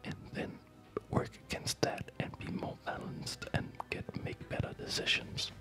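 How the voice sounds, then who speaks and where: A man whispering a rambling, reflective ASMR commentary while he plays a game, with a breathy voice. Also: a little slow, bright, forceful tone, faint music behind.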